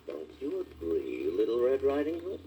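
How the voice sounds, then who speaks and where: A man's voice: mid-range voice, wildly swooping pitch, normal speed, slight background noise. Man voicing a sly polite wolf. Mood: coaxing. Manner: soft, coaxing and falsely polite. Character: sly, smooth-talking wicked male wolf. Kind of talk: 1948 children's story record.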